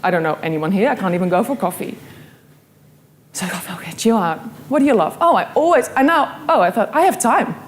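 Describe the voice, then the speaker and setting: A woman's voice: medium pitch, expressive, brisk, a little hiss or hum. A young woman from the Netherlands with a Dutch accent, giving an earnest, story-driven motivational talk to an audience. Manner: earnest, storytelling, quotes her own thoughts.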